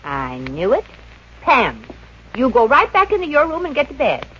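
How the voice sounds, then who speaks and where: A woman's voice: high-pitched, huge pitch swings, normal speed, a bit bright, exaggerated voice. A woman playing a bossy, wisecracking young reducing coach in 1950s radio comedy, brassy and slangy. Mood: stern. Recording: muffled, hissy 1950s radio recording.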